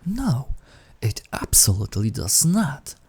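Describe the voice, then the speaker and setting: Casting: male actor as intimate incubus demon for roleplay audio, dark mellow tone, gravelly and breathy. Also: mid-range voice, big pitch swings, takes it slowly, full character voice. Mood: gentle, awkward, soothing. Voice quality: husky, breathy, often dropping to whisper. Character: incubus sleep paralysis demon, menacing turned awkwardly tender.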